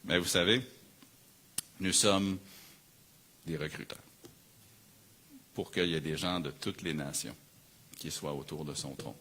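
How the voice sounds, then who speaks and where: A man with a low, forceful voice delivers a sermon in Quebec French. He speaks as a pastor, earnest and emphatic, and leans on repeated phrases to build each point. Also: measured pace, long pauses, slight background noise. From Canada. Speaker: pastor preaching.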